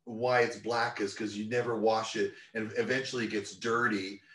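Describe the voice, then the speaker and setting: Laughing Canadian host, roomy distant sound. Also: male voice, fairly low pitch, little pitch movement, normal speed, a bit bright. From Newfoundland, Canada. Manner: laughs often, loose and joking. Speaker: podcast host. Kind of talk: casual chat. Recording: roomy sound, a bit off mic.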